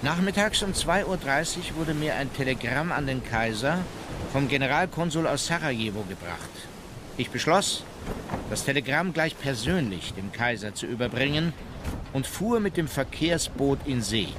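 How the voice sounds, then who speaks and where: An actor playing a sober, dutiful German admiral, formally recounting his memoirs in a measured radio drama narration. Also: mid-range voice, expressive, noisy background, lightly acted. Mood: sober, factual.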